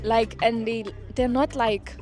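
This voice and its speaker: A woman's voice: fairly high pitch, fairly even intonation, slow, darkish tone. Earnest teen girl, faint music. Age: teens. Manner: earnest, lots of "like" fillers. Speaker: interviewee.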